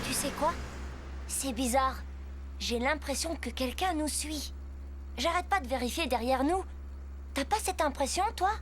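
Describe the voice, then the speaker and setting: A woman voicing an eager young kid hero who shouts transformation commands in a French cartoon dub. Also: high voice, unhurried, long pauses, background noise. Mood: uneasy. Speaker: voice actress.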